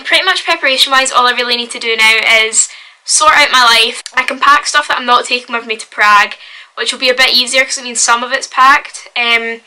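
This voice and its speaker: Young woman, Glaswegian accent, high voice, very bright and sharp tone, chatty vlog talk from her bedroom. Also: poor audio. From Scotland. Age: young adult. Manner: chatty and upbeat, full of "like" and "wee". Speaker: vlogger. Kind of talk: casual vlog chat.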